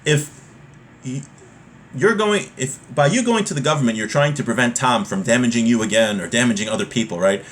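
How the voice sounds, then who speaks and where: A man with a mid-range voice teaches a religious law class. He moves between reading a text and explaining it in a conversational way, going back over points to make them plain. There is clear background noise in the recording. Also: mid-range voice, animated, a little fast.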